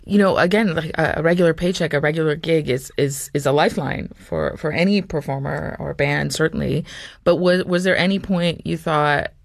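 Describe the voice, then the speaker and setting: An interview host with a conversational, encouraging way of putting halting questions. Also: mid-range voice, vocal fry, dry recording, studio quality.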